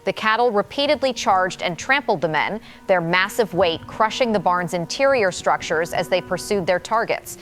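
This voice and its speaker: A woman's voice: medium pitch, fairly bright tone, lightly acted. A woman playing a serious field correspondent delivering a formal, scripted live news report in an audio drama. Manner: formal, grave.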